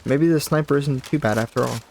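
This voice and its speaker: Guy rambling over his gameplay, deeper just-woke-up voice, game sounds loud behind him. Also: brisk, creaky, slight background noise. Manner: chatty, rambling, self-correcting. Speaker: gaming YouTuber. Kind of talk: casual let's-play commentary.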